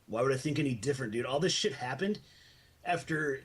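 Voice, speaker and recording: A man's voice: mid-range voice, animated, a little fast, breathy edge. A man in a heated voice-chat argument, speaking bluntly and accusingly.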